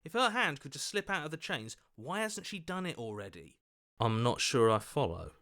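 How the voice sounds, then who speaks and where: A man's voice: medium pitch, sing-song, moderate pace, a bit bright. An actor playing an earnest, doubtful everyman hero in a video game, questioning and hesitant as he reasons aloud. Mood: wary, incredulous.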